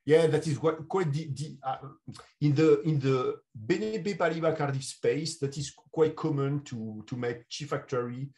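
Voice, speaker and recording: A man, a consulting CEO answering interview questions over a video call. He speaks in measured, English. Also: on the high side, a little mellow. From France. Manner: measured, non-native English phrasing. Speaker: guest, insurance consulting CEO. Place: home room.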